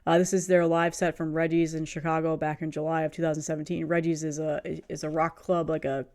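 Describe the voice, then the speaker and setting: A woman's voice: deep voice, level tone, fairly quick, a little mellow. A woman in her thirties with a cold, chatting casually and enthusiastically as she reviews music, rambling a bit and laughing often. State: has a cold, sniffly. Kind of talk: casual video review chat.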